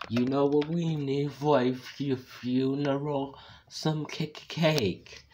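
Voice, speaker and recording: A gleeful, threatening animatronic chicken mascot from a horror game, as a man voices her in a plain mid-range voice. She talks slowly and stutters through her taunts. Loud keyboard typing and clicking run under the voice. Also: mid-range voice, fairly even intonation, slight background noise, close to an everyday voice. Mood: taunting. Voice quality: stutters on words, drawn out. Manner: slow, taunting delivery. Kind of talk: fan-made game character voice lines.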